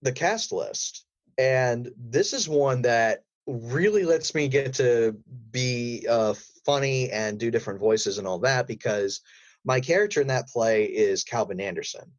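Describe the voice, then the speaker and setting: A man with a mid-pitched voice chats casually as an interview guest, explaining things in a conversational way. The recording is close and dry, with no room sound. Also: measured pace, dry recording. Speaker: voice actor, podcast guest.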